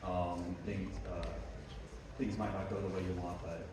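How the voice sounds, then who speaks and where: Deep-voiced man rambling in an echoey hall. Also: little pitch movement, moderate pace. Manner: rambling, many fillers. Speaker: fan asking a question. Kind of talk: rambling audience question. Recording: echoey hall from far off, noisy.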